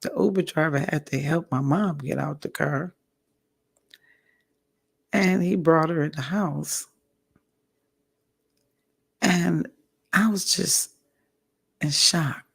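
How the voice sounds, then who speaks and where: A woman vlogger with a hoarse, raspy voice, giving a candid personal update in a slow, halting way and often clearing her throat. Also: sits low. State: hoarse, recovering from a stroke. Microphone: audio only, home recording.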